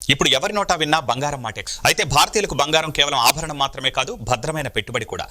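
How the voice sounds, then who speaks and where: A man's voice: fairly high pitch, racing, sharp tone, faint music behind. A man presenting a scripted news introduction.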